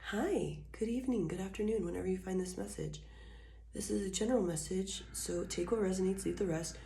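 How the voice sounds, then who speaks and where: Hoarse woman, low gravelly voice, mellow tone, echoey room. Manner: soft, slow and drawn-out, with scattered fillers. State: hoarse, recovering from illness, coughing. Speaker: tarot reader. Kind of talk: casual channeled tarot reading. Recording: echoey room, faint noise.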